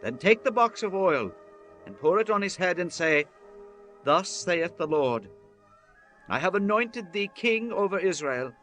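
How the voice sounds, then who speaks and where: A commanding bible prophet in an audio drama, really high voice, bright and declamatory, bit of music behind. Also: male voice, very animated, unhurried, heavily acted. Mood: solemn, commanding. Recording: voice over background music.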